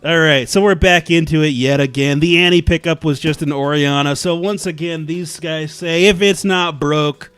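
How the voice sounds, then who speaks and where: Loud casual young play-by-play caster. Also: male voice, on the high side, steady tone, normal speed, few pauses, clean tone, no echo, faint music behind. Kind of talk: esports commentary.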